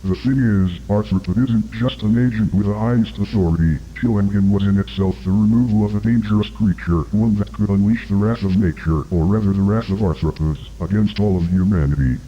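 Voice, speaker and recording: Man playing evasive agency commander, low husky voice, guarded formal delivery, analog horror tape. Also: a little fast, few pauses, lo-fi sound, natural voice. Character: evasive male agency commander under interview. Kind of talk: scripted analog horror dialogue.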